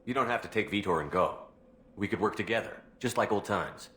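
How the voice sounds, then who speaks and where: A deep-voiced, brisk military commander in a game drama, resolute and fair. He talks firmly and straight to the point. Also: expressive, fairly bright tone, a little hiss or hum. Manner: firm, direct. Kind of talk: video game dramatic acting.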